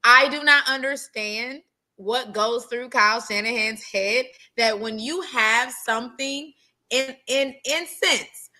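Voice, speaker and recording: A woman's voice: on the high side, slow, bright, forceful tone. A woman co-hosting a sports talk show, making her points with emphatic repetition in casual chat. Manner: emphatic, repeats points for stress.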